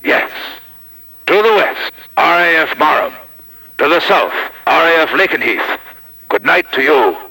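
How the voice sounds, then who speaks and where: A man voicing an older, impatient RAF duty officer on the telephone, gruff, curt and clipped. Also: a little high, sing-song, unhurried, pauses often, pushed and bright, pitched above the performer's own voice, exaggerated voice, poor audio. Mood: brusque.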